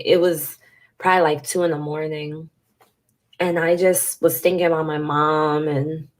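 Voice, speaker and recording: A woman vlogger with a congested, stuffy voice, talking frankly and emotionally to camera and swearing casually. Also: sits low. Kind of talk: candid talk to camera.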